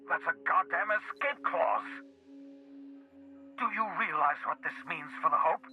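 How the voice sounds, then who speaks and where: An actor playing an eccentric, excitable older renegade scientist in a video game, theatrical and passionately indignant. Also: male voice, fairly high pitch, full character voice, low-quality recording. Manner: theatrical, erratic, swinging from mutters to outbursts. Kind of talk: video game cutscene acting.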